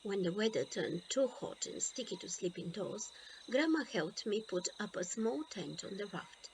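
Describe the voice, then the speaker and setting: A woman reading a children's storybook aloud in an expressive, deliberate way, leaving pauses between phrases. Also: medium pitch, a little hiss or hum, rough recording. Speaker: storybook reader.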